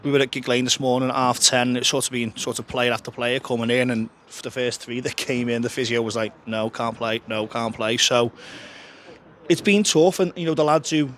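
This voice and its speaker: Man, 30s, football manager, candid and chatty, mid-pitched, recorded pitchside with faint outdoor hum. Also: steady tone, a little fast, fairly bright tone. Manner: frank, chatty, frequent restarts and fillers. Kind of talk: post-match interview. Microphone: handheld interviewer mic held close.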